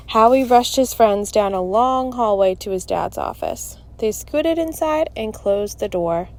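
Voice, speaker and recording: A woman narrating a children's chapter book in an expressive, scripted read-aloud style. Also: medium pitch.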